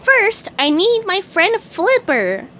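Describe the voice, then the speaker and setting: A woman puts on a shrill, overexcited young sponge fry cook from a storybook, pitched very high and swooping all over. He sounds eager and breathless with enthusiasm. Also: very animated, takes it slowly, dull audio, a little hiss or hum, heavily acted. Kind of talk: storybook read-aloud.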